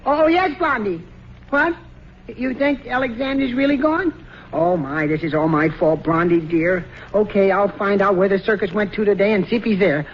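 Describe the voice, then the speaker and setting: A man's voice: high-pitched, moderate pace, natural voice. An actor playing a meek, bumbling family man who stammers hesitantly in an old radio comedy. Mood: worried. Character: flustered, meek, bumbling husband and father. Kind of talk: 1940s radio comedy acting. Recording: muffled noisy old radio recording, audience.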